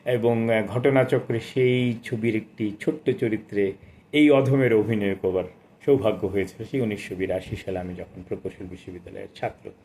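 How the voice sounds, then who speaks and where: A man in his sixties presenting a book of poetry, speaking and reciting in a measured, expressive way with formal literary diction. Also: lowish voice, a little hiss or hum. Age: 60s.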